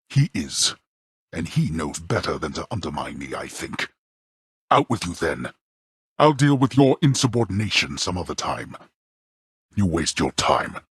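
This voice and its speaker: A man's voice: fairly low pitch, breathy edge, dry recording, clean studio sound. A man voicing a stern, scornful god of the underworld for a video game, scolding imperiously in formal, archaic phrasing like a domineering father. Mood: cold, dismissive.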